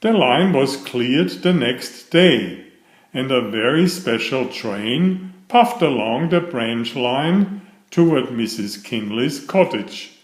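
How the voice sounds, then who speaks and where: A man's voice: medium pitch, animated, slow, roomy sound. A man narrating a children's story, reading aloud from a script.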